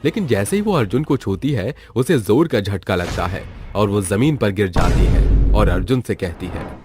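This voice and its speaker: A man's voice: lowish voice, animated, normal speed, music in the background. A man narrating a scripted story as a storyteller.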